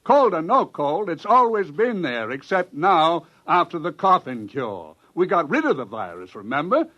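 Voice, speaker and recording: An actor playing a male research scientist who explains things in a brisk, matter-of-fact way, in 1950s radio drama style. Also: on the high side, very animated, sharp tone, heavily acted. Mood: explaining. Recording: dull 1950s radio broadcast.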